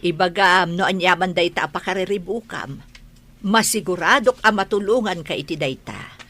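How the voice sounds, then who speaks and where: A woman's voice: fairly low pitch, moderate pace, slight background noise. A woman playing a caring, nosy middle-aged aunt in a radio drama, with an Ilocano accent and an exclamatory way of talking. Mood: advising. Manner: animated, exclamatory.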